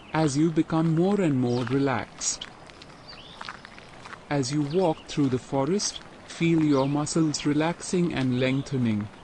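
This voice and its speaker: A man guiding a meditation in a slow, soothing, evenly paced scripted voice. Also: fairly high pitch, darkish tone, a little hiss or hum, rough recording. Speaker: meditation guide.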